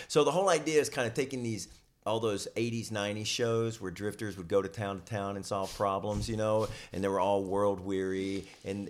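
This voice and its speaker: Male comedian guest, low voice, loose and rambling conversational delivery. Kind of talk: casual chat.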